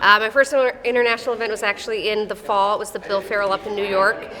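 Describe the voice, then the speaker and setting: A woman's voice: medium pitch, flat delivery, quick pace. Brief: woman, thirties, Midwestern accent with a touch of Texas drawl, friendly and matter-of-fact, interviewed in an echoey arena. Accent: Midwestern American with a slight Texas drawl. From Wisconsin, USA. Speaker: wrestling official. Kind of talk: casual interview answers. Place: wrestling tournament arena. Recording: echoey arena with crowd noise.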